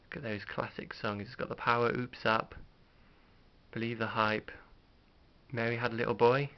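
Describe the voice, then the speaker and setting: A middle-aged British man with gravel in an otherwise smooth voice hosts a casual show-and-tell. He rambles along in an understated, hesitant way. The sound is dull and lo-fi, like a home recording. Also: medium pitch, level tone, moderate pace. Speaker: video host showing a tape collection.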